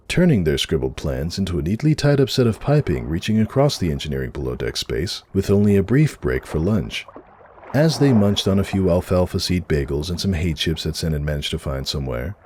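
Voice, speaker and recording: A man narrates fiction in a very low register. His delivery moves up and down in a lively way, like a dramatic reading of a story.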